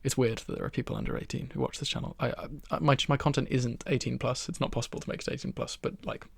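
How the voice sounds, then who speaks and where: A soft-spoken young man of twenty-one chatting ASMR-style from his bedroom, rambling and poking fun at himself. His voice is heavy with fry and breathy, sitting mid-range for a man. It is recorded close and bone dry. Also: medium pitch, steady tone, fast, dry recording. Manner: rambling, self-deprecating, with soft laughs. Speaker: ASMR creator.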